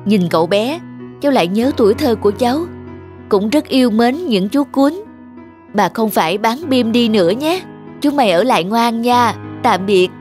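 A woman playing a kind, soft-hearted young woman in a cartoon, with a polite, tender manner. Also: fairly high pitch, animated, moderate pace, natural voice. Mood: warm, fond. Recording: music behind the voice.

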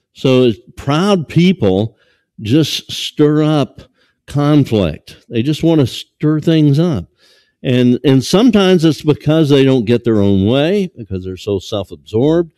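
An older man in his sixties preaches to a small church congregation from behind a lectern. His voice is dark and mellow. He talks haltingly, full of fillers and restarts, and the stand mic catches him dry and close. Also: mid-range voice, no echo. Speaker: pastor. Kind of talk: sermon.

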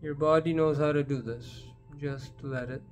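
A man leading a guided meditation in a gentle, unhurried manner, leaving long pauses between phrases. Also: fairly high pitch, fairly even intonation, soft background music.

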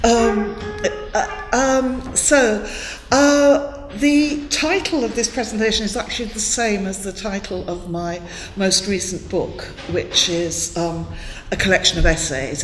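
A woman's voice: mid-range voice, fairly even intonation, unhurried, soft background music. An older woman from Wales, a native Welsh speaker, giving an academic lecture in a classroom on a headset mic, thoughtful, with many fillers and restarts. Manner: thoughtful, many fillers and restarts, gestures a lot with both hands. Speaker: guest lecturer. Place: classroom in front of a chalkboard. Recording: echoey room with long reverb.

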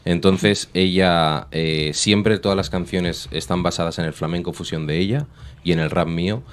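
Guest rapper, Spanish accent, very low voice, unhurried studio interview. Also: male voice, fairly even intonation. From Spain. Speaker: rap artist guest. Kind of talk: interview answers. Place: radio studio. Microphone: studio microphone.

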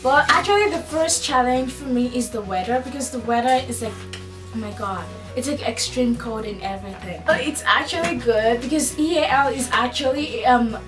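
A teenage student girl talking in a lively, chatty, informal way in an interview. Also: a little high. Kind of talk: interview testimony. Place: school office, seated. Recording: echoey room from far off. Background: background music.

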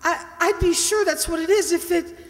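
A woman playing a frightened, haunted wife turning undead in a radio mystery play, with a halting, fretful delivery. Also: extremely high pitch, steady tone. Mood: desperate, pleading. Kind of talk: old-time radio mystery acting. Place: stage in a community hall, stand microphone. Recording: echoey hall, music underneath.

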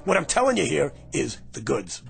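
An actor playing a brash, fast-talking man who claims to be going back in time, with a pushy, street-smart manner in a radio drama. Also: on the high side, big pitch swings, vocal fry, heavily acted. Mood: insistent. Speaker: lead character. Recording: radio play with music.

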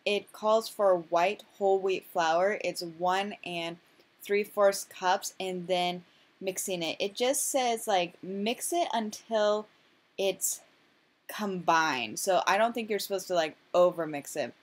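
A woman's voice: medium pitch, slow pace. A woman casually talking through her home cooking in a chatty, self-deprecating, rambling way, full of fillers. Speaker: vlogger.

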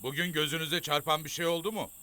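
A man's voice: fairly high pitch, fairly even intonation, fast talker, bright, forceful tone. An actor playing a stern, suspicious man who gives probing orders in a children's cartoon. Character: stern, suspicious elder brother figure giving orders. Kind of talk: animated children's series dubbing.

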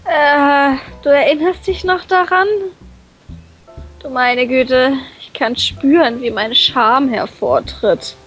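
A woman's voice: high-pitched, takes it slowly, fairly bright tone, low-quality recording. A woman voicing a shy, flustered schoolgirl heroine with a crush in a visual novel, stammering and hesitant. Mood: flustered, embarrassed. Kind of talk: visual novel dub reading with inner monologue. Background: music.

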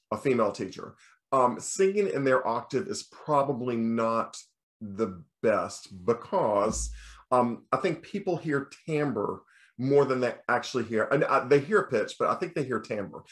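A middle-aged American man with a bass voice, a choir teacher giving a recorded lecture in a relaxed, explaining way. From United States. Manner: relaxed explaining, breaks into sung demonstrations. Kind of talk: recorded lecture over slides.

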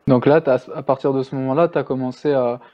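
A man hosting an interview, asking halting questions and giving brief acknowledgments. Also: medium pitch, fairly even intonation, muffled recording. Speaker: interviewer, host.

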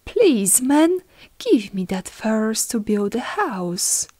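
A little pig from a storybook, voiced by a woman, plucky and emphatic. The voice sits rather high and moves in singsong swoops, taken slowly. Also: very animated, warm tone, no room sound, studio quality, heavily acted. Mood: pleading. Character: plucky little pig, pleading yet defiant. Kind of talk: storybook fairy tale character voice.

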